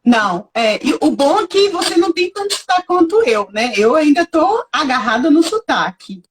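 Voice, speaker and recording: A woman's voice: sits high, very animated, normal speed. A woman from Belo Horizonte, Brazil, with a strong Minas Gerais accent, chatting as a guest on a live video call in a friendly, agreeable way. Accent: Minas Gerais Brazilian Portuguese. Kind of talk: casual live video chat. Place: at home in Italy.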